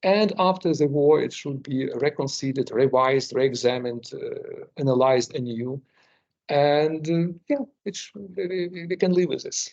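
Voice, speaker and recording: A man's voice: on the high side, steady tone, unhurried, vocal fry, darkish tone. An older man with a Ukrainian accent, giving a seminar talk with many fillers and repeated words. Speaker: guest lecturer. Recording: muffled lo-fi recording.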